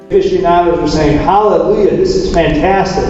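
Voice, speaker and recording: A man's fairly high voice echoes heavily through a hall, far from the microphone. He presents informally, with emphatic enthusiasm. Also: fairly quick, darkish tone, dull audio, faint music behind. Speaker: presenter giving a talk. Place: large reverberant room at an event.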